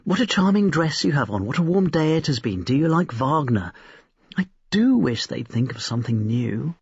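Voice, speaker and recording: A chattering young society woman from a storybook, put on by a man, critical and enthusiastic. The delivery is gossipy and emphatic, raised in pitch, animated and breathy. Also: normal speed, heavily acted. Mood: critical, mocking. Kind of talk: storybook character reading. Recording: roomy, slightly dull recording.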